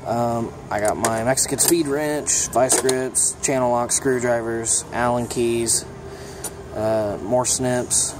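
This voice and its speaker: A young man of middle pitch, chatting easily about his gear, with frequent ums and uhs. He films on a handheld phone inside a repair shop, and a steady hum of air conditioning and passing vehicles sits clearly behind him. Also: slow. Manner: relaxed, plainspoken, many ums and uhs. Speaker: mechanic giving a toolbox tour. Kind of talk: casual show-and-tell vlog. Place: auto repair shop, indoors. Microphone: phone held in hand, filming first-person.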